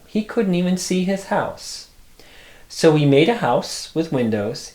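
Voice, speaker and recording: Expressive man reading a bedtime story, unhurried. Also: mid-range voice, echoey room. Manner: expressive, childlike wonder in asides. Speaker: storyteller, host. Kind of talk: reading picture books aloud, with asides.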